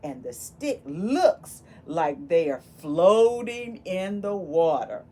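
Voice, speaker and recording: A woman reading a picture book aloud to children in an expressive, exclaiming way, speaking directly to her young listeners with questions and asides. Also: lowish voice, slow. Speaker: volunteer children's book reader. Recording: steady background noise.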